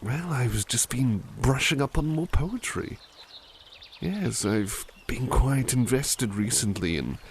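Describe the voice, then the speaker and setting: A man playing a kindly, gravelly butler. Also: fairly low pitch, slight background noise, exaggerated voice. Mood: gentle, chatty. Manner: polite, formal and soft-spoken; dramatic, lilting recitation of verse. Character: gentle, kindly adult male butler. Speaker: ASMR roleplay creator. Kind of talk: ASMR roleplay, reading poetry aloud.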